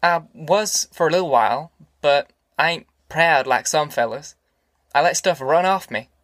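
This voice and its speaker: A man's voice: fairly high pitch, unhurried, fairly bright tone, dry recording, clean studio sound. A man voicing an easygoing young farm son in an audiobook, plain-spoken, with a rural Southern American accent. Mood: calm, reassuring. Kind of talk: audiobook character acting.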